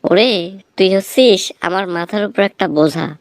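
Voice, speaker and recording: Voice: mid-range voice, expressive, normal speed, no echo, clean studio sound, natural voice. An actor voicing a quarrelsome, indignant village husband in a comic cartoon, scolding theatrically in a rural Bangladeshi Bengali accent. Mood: accusing, defensive. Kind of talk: comic cartoon dialogue, exaggerated bickering.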